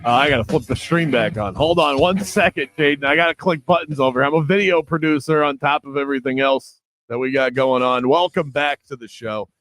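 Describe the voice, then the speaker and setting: A man's voice: mid-range voice, a little fast, fairly bright tone. A man hosting a sports radio show from the studio, chatting casually and teasing his producer. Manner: chatty, teasing, thinks aloud. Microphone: studio mic.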